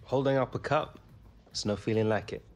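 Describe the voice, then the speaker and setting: A man's voice: fairly low pitch, brisk, soft background music, close to an everyday voice. A young man with an English accent playing a sincere, modest young footballer in a naturalistic video game cutscene. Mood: affectionate, earnest. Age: young adult.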